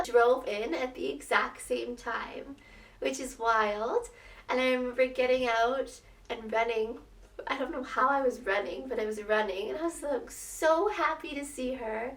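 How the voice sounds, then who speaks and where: High-voiced, slightly raspy young woman, echoey room. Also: moderate pace. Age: young adult. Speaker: vlogger telling her birth story. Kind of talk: casual personal storytelling to camera. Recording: roomy echo, distant microphone.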